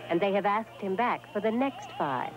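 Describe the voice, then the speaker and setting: A woman giving scripted documentary narration in an even, informative manner. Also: mid-range voice, moderate pace. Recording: dull lo-fi tape with music behind.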